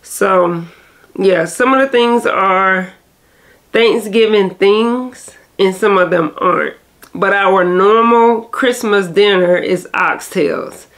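A woman's voice: mid-range voice, slow, fairly bright tone. Chatty nasal woman vlogger. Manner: rambling, chatty, self-correcting. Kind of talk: casual vlog chat to camera.

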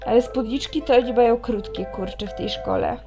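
Female game narrator, highish voice, teasing commentary, clear music behind. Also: fairly quick, highs cut off. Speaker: let's player reading a visual novel aloud. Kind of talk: expressive reading aloud with commentary.

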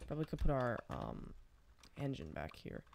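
A man's voice: medium pitch, wildly swooping pitch, moderate pace, gravelly, slight background noise. A young man casually commenting on his own gameplay, thinking aloud and rambling as he builds. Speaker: gaming channel host.

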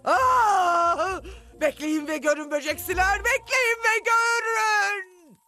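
A woman's voice: extremely high pitch, slow pace, over music. A woman playing a haughty, scheming villainess in a dubbed cartoon, imperious and scornful in manner. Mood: furious, commanding. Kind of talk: animated series dub acting.

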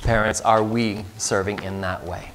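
A man's voice: lowish voice, level tone, measured pace, a bit bright. A man in his thirties preaching a sermon as pastor, earnest and putting questions to the congregation, recorded in a church sanctuary.

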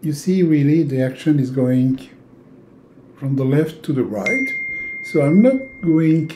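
A man in his sixties from Europe, a native French speaker with a French accent, giving an informal, halting instructional talk with long hesitations. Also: on the high side, lively intonation, noisy background. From francophone Europe. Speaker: tarot teacher. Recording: roomy, echoing indoor sound.

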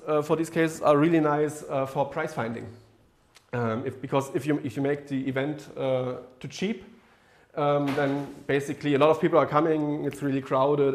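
Halting man giving a tech meetup talk. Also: medium pitch. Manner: hesitant, many fillers and restarts. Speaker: meetup speaker. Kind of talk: technical presentation. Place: lecture room with projector screen.

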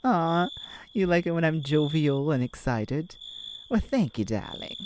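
A woman's voice: low-pitched, lively intonation, a little slow, a little mellow, slight background noise, low-quality recording. A woman voicing a giddy, affectionate twenty-one-year-old boyfriend in a cutesy, playful ASMR romantic roleplay. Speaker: audio roleplay performer.

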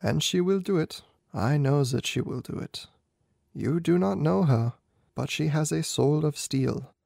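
A man's voice: fairly high pitch, unhurried, gravelly, dark tone, no room sound, studio quality. A man voicing an anxious, proud young king in a storybook reading, solemn and emphatic. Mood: grave. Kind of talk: amateur audiobook character reading.

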